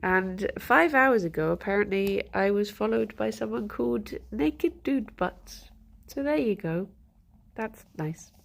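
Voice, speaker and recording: A woman chatting on a morning stream, her voice thick and stuffy from a blocked nose. She talks at an unhurried pace, with a gravelly rasp. She greets her viewers one by one, giggling as she goes. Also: medium pitch, animated. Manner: chatty, greets viewers by name, giggly, soft baby talk to pets. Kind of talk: casual morning stream chat.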